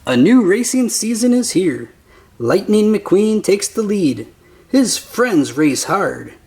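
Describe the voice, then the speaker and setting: A man reading a children's picture book aloud as narrator, with simple, deliberate phrasing for young listeners. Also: high-pitched, very animated, darkish tone. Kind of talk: slow scripted children's story narration.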